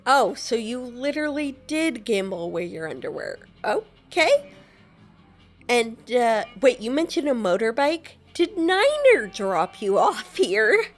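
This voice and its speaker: Woman, lively high voice, voicing her player in casual game role-play; playful with light music under. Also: measured pace. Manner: playful, giggly, teasing. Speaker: gameplay narrator voicing the player character.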